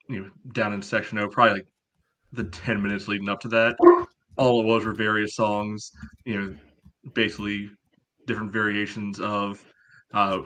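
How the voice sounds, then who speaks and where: A man on a casual sports podcast panel, talking in a halting way full of verbal tics. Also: on the low side, bright, forceful tone. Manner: halting, peppered with "you know".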